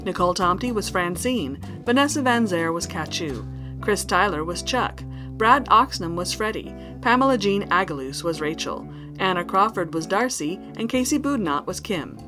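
Lady reading end credits for an audio drama, medium pitch, guitar playing loud behind. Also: expressive, normal speed. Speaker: credits announcer. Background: plucked guitar music.

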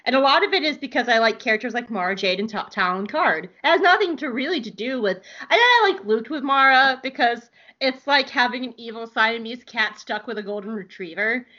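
A woman hosting a casual podcast, gushing and excitable, swearing freely and often laughing. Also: a little high, brisk. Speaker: podcast host. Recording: dull lo-fi sound.

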